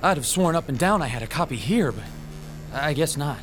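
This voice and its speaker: A man plays a timid underdog who runs a comic shop, his voice on the high side. He is anguished and hesitant, tripping over words as he frets, in a radio drama with music behind him. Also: expressive, fairly quick, close to an everyday voice. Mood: despairing, frantic. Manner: hesitant, fretful, stammering.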